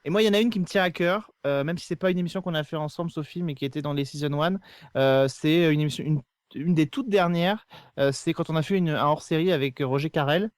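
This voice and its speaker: A man's voice: a little high, fast, dry recording, clean studio sound. A man in casual podcast conversation, speaking in an earnest, opinionated way.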